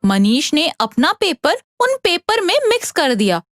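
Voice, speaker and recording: A woman reading scripted narration for an animated children's story. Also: sits high, unhurried, no room sound, clean studio sound.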